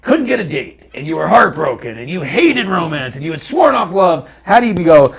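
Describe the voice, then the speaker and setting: A man's voice: a little high, sing-song, normal speed, gravelly, crowd noise. A man hosting a podcast, chatting casually and asking questions in a joking, conversational way. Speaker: podcast host. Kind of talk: casual interview chat.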